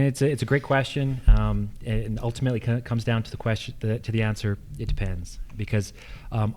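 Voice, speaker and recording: A man's voice: medium pitch, a little mellow. A man with an American accent giving explanatory answers as a candidate at a public forum. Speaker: incumbent candidate for local assessor.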